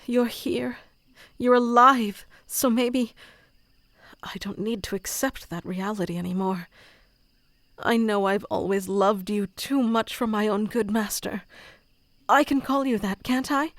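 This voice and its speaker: A powerful female fox spirit, acted by a woman speaks slowly in a fairly high voice, close and dry. She is clingy and possessive, with the intimate theatrical delivery of an ASMR audio roleplay. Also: no room sound. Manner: intimate, theatrical, swinging between hushed and pleading.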